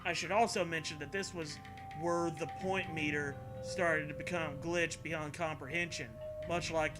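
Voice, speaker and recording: Man, raised pitch, mocking story reading, joking commentary, foul-mouthed, excitable sarcasm, music playing behind. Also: normal speed. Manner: profane, sarcastic, excitable, laughs often. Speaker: host reading internet horror stories aloud.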